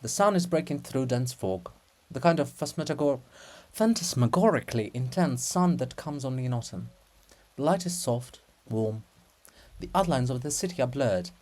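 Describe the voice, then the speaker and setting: A man narrating stage directions for a dramatic play reading in a measured, theatrical manner. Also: medium pitch, lively intonation, a little mellow.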